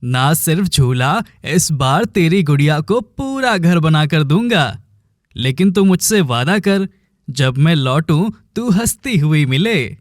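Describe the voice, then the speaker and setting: Man playing an earnest, slow-spoken young village woodcutter. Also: fairly high pitch, animated, no echo, studio quality. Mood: tender, devoted. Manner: earnest, brooding. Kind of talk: melodramatic cartoon dialogue.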